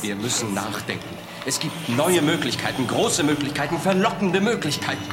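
A man's voice: a little high, expressive, moderate pace, lightly acted. An actor playing a driven, scheming male swimming coach in a German radio drama. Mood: urgent, scheming. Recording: roomy echo, music behind.

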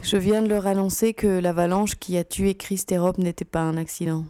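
A woman playing a composed, serious security officer with a deliberate, sober manner in a science fiction audio drama. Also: on the low side, steady tone, dark tone, clear, resonant tone, no room sound, clean studio sound, natural voice. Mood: grave.

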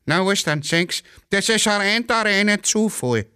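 High-pitched evasive Viennese railway porter. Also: male voice, lively intonation, a little slow, bright, forceful tone, clear, resonant tone, no room sound, clean studio sound, full character voice. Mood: fatalistic, dismissive. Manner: grumbling, long-winded dialect excuses.

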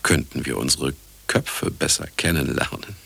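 A man's voice: very deep voice, moderate pace, gravelly, sharp tone, slightly rough, exaggerated voice. An actor playing a cynical, vain older retired scientist who narrates his own story in a satirical radio play, sardonic and self-important. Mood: smug, wry. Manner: sardonic, self-important, talking to listener and sound engineer. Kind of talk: satirical radio drama monologue.

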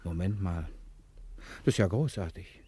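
A man's voice: deep voice, a little slow, dark tone, husky. A man acting in a 1960s German radio drama as a cunning, adventurous husband on the run, playful and commanding in a conspiratorial way. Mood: scheming.

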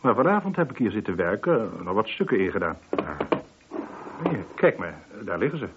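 A man's voice: lowish voice, very animated, fairly quick, husky, full character voice. An actor playing a weary, defensive man nearing sixty in a Dutch radio play, explaining himself hesitantly. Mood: uneasy, explaining. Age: late 50s. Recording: muffled, band-limited old radio recording.

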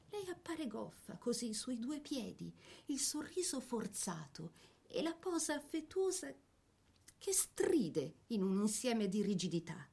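Woman narrator with measured, theatrical phrasing. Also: mid-range voice, a little mellow. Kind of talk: scripted dramatic biographical narration.

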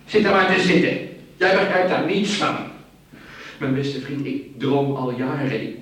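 An actor playing a scheming, money-hungry crook in a radio thriller, rambling and repeating his words excitably. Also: fairly high pitch, measured pace. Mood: agitated, greedy. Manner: stammering repetitions, excitable, rambling. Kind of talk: 1980s radio thriller acting. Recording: very echoey, distant, faint noise.

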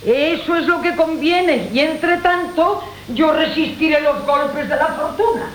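An actress with a Castilian accent playing a saucy, mocking maidservant in a classical radio comedy, sly and taunting. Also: female voice, high voice, unhurried, poor audio. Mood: confident, scheming. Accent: Castilian Spanish. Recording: hissy 1980 radio broadcast recording.

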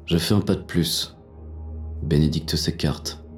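An actor playing a hard-boiled, bitter police inspector who narrates a thriller in terse, intense first person. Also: male voice, extremely low pitch, steady tone, slow, faint music behind. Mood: tense, wary. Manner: gritty, terse, intense delivery.

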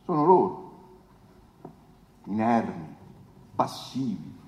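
An actor playing a pompous, condescending defence lawyer, declaiming a florid theatrical closing speech with dramatic pauses and rhetorical questions. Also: male voice, fairly low pitch, sing-song, mellow. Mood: insistent, accusing. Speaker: actor in a stage adaptation. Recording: echoey hall with long reverb.

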